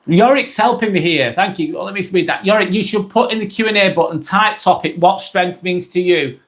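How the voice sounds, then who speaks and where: A man's voice: high voice, moderate pace, a bit bright. Lancashire man rambling on a live vlog. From northwest England. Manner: rambling and blunt, swears casually, frequent restarts. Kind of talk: casual live-stream talk.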